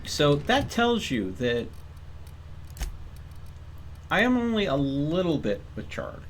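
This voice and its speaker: A man with a slightly high voice talks through a game as a streamer, casual and explanatory. He stretches phrases out as he muses, with faint game ambience behind him. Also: big pitch swings, slow, slight background noise. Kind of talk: casual live game commentary.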